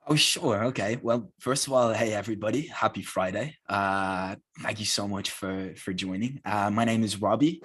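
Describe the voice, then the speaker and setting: Guy on a webinar call telling his story, lowish voice, keen and earnest, repeats himself a lot. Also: normal speed, few pauses, fairly bright tone, breathy edge. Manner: earnest, enthusiastic, repeats words when restarting. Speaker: guest speaker.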